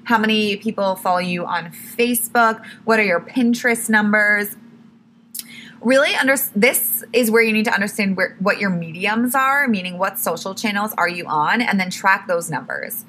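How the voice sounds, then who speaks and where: A young woman hosting her own podcast, talking casually and correcting herself often, a little hoarse as she starts to lose her voice. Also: mid-range voice, normal speed, sharp tone, a little hiss or hum. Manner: chatty, self-correcting, says "like" and "literally" a lot. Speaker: podcast host. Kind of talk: casual solo podcast tips.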